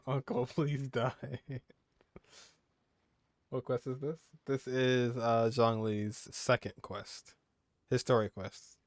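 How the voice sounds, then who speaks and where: A man's voice: mid-range voice, level tone, takes it slowly, long pauses, no echo, studio quality. A man giving casual playthrough commentary as a gaming streamer, laid-back, with joking asides.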